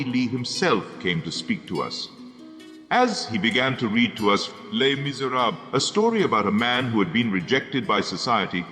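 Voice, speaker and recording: A man's voice: on the low side, a bit bright. A man playing a solemn former soldier who narrates as a storytelling host, earnest and oratorical in a dramatic scripted style. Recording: long cavernous reverb with music behind. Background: music.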